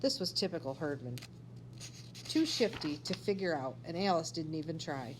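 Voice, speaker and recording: A woman with a slightly low voice reads a children's story aloud in an expressive, animated way. She sounds like a teacher telling a tale to pupils. There is faint noise and hum in the recording. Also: moderate pace. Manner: animated, acts out dialogue.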